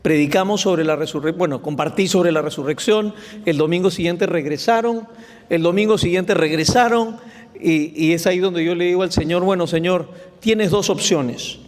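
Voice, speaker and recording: A man's voice: high voice, moderate pace. A man, speaking as a church planter on a panel, telling his story earnestly. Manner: earnest storytelling, acts out prayers. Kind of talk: panel testimony. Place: large hall, panel on stage. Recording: reverberant hall.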